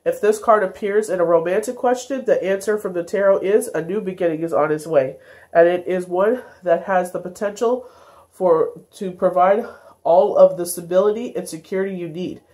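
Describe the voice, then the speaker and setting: A woman's voice: fairly low pitch, moderate pace, darkish tone. Stuffy-nosed woman reading tarot cards. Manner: meandering, many fillers and self-corrections. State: congested, stuffy nose. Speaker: tarot reader. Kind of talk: daily tarot card reading.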